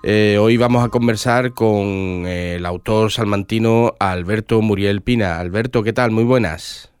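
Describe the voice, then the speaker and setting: Congested radio host with a cold. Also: male voice, fairly low pitch, measured pace, smooth. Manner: hesitant, with many fillers and restarts. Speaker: radio book show host. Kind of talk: casual radio interview.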